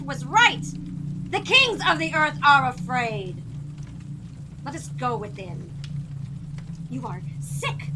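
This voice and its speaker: A scornful, high-voiced queen. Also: noisy background, heavily acted. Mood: mocking. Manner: imperious, mocking. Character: scornful, jealous middle-aged queen and mother. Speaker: actor. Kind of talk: theatrical audio drama acting.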